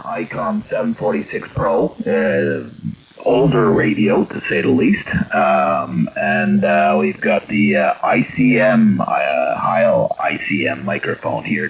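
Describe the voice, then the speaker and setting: A man's voice comes through a thin, narrow radio channel with faint static. He chats casually as a ham operator, medium in pitch, stopping and starting with long hesitations. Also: creaky. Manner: halting, hesitant delivery with many drawn-out pauses. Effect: received over shortwave radio.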